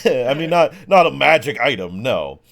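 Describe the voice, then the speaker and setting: A man's voice: medium pitch, very animated, normal speed, vocal fry, fairly bright tone. A man acting as game master, improvising tabletop narration in a casual, hesitant way. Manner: hesitant, piecing descriptions together as he goes.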